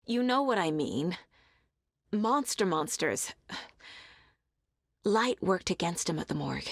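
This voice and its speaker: A driven, analytical female investigator in a dramatic video game. Her voice is low and husky, with terse, measured phrasing, as if reasoning through a case. The recording is very dry, with no room around the voice. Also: lively intonation, no echo, exaggerated voice. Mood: conversational, probing. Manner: measured, terse, pensive deduction. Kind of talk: dramatic game dialogue, introspective investigation lines.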